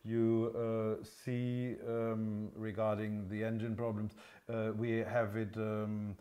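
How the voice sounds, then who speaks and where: A man in his fifties with a continental European accent, a non-native English speaker explaining things off the cuff in a halting, hesitant way as a workshop presenter. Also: lowish voice, little pitch movement, warm tone. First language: not English. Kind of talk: unscripted product explanation. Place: indoor engine workshop showroom.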